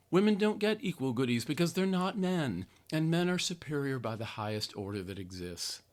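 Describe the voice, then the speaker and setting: An American man with a somewhat low voice reads a written polemic aloud. His delivery is theatrical and sardonic. He leans hard on key phrases and stretches them out for emphasis. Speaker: podcast host. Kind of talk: dramatic reading of a written opinion essay.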